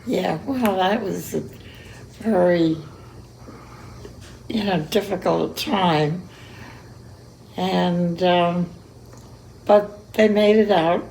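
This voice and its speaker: A woman of about a hundred recalling her past in an interview, speaking slowly and reminiscing, hard of hearing. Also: fairly low pitch, creaky, a little mellow, a little hiss or hum, poor audio. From Cuba. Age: 100. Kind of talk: oral history interview.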